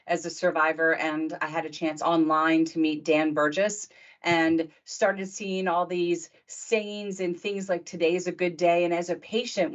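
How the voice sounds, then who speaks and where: Excitable woman hosting a video call. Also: lowish voice, highs cut off. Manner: excitable, chatty, talks over guests. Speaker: host. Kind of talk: live video Q&A.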